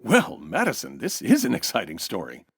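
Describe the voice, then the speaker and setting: A man playing a calm, polite, reserved interviewer in a comedy audio drama, formal and measured. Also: mid-range voice, gravelly, over loud music. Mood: patient.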